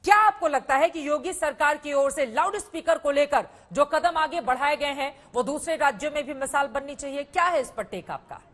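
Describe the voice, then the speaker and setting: A woman's voice: high-pitched, moderate pace, few pauses, a bit bright. A woman anchoring a TV news debate, putting formal questions to guests.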